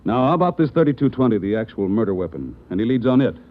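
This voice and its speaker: An actor playing a brisk, commanding police chief in a 1940s radio drama. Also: male voice, mid-range voice, sing-song, muffled recording, natural voice. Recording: old radio broadcast, band-limited.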